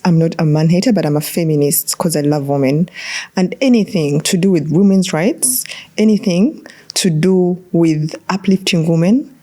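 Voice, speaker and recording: A woman's voice: low voice, warm tone. A young woman answering as a student panel guest in an emphatic, declarative way. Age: young adult. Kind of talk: conversational interview answers.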